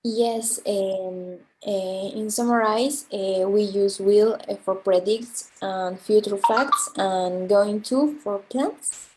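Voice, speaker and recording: A woman's voice: medium pitch, fairly even intonation, slow pace, a little mellow, slight background noise, rough recording. A woman student answering her teacher hesitantly in English, with many fillers.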